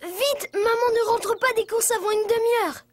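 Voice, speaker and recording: An actor voicing a young boy in a French children's cartoon, expressive and exclamatory, pleading, bossy and eager to help. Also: very high-pitched, moderate pace, noisy background. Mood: urgent. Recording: music bed behind dialogue.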